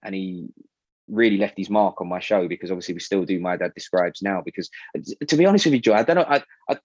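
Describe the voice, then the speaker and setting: A man with a South London accent chatting casually about his radio show, animated and full of fillers and restarts. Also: sits low, quick pace, no room sound, muffled recording. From South London, England. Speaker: radio presenter, guest. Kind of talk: casual interview chat.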